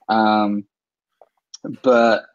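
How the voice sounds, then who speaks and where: A man from England, a guest in a casual interview, talking with many fillers and soft laughs. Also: fairly low pitch, little pitch movement, drawn-out words, lo-fi sound. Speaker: interview guest, online seller.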